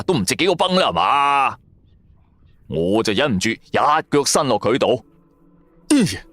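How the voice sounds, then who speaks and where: A man with a Cantonese accent, narrating a first-person story in a dramatic audio drama style. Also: medium pitch.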